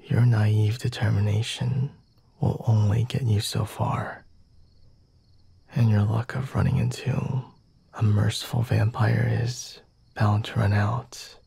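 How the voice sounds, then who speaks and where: A husky, breathy male vampire played by a man in an intimate ASMR roleplay, murmuring in half-whispers with a gravelly fry. He is self-assured and teasing, condescending yet merciful. His delivery is unhurried and softly mellow, with formal, deliberate wording. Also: on the low side, little pitch movement, no echo, exaggerated voice. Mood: condescending concern. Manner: slow, deliberate, formal wording with sighs and hums.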